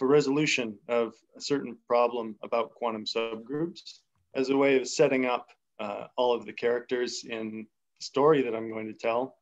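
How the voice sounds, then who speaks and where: A young man giving an academic seminar talk over a video call, unhurried and thinking aloud with many pauses. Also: mid-range voice. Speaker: seminar speaker. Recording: slightly muffled video call audio.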